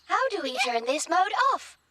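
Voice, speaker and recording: A woman's voice: very high voice, very animated, normal speed, very noisy. A woman voicing a small, earnest toy robot in a children's cartoon, speaking in clipped, computer-like announcements.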